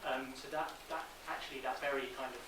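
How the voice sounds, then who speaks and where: Fast-talking male academic, very echoey room. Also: medium pitch, steady tone. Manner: informal, halting, many hedging asides. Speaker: academic panel speaker. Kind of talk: informal answer at a conference Q&A. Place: conference room, indoors. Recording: very echoey noisy room, distant mic.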